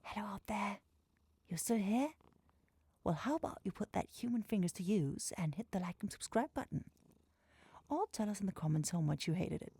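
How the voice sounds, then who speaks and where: A woman chatting casually and playfully as a channel host, softly and partly whispered in an ASMR style. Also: medium pitch, lively intonation, moderate pace, slightly rough, no room sound. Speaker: creator and host of audio roleplay channel. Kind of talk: casual, soft ASMR outro chat.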